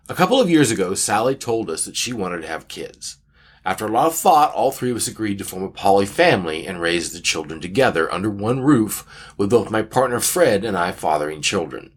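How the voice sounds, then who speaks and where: A man with a somewhat low, raspy voice co-hosts a casual podcast. His pitch swings widely as he speaks, with a wry, emphatic and measured delivery. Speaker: podcast co-host. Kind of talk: casual podcast chat and reading of a listener letter.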